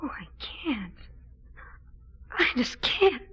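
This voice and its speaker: A dull-sounding 1940s radio recording of a young American stage hopeful. Her voice is high and very bright. She comes across as eager, fragile and easily hurt. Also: sing-song, drawn-out words, slightly rough, full character voice. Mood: tearful. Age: young adult. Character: young aspiring actress, eager, fragile and heartbroken. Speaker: actress. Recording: muffled 1940s radio broadcast.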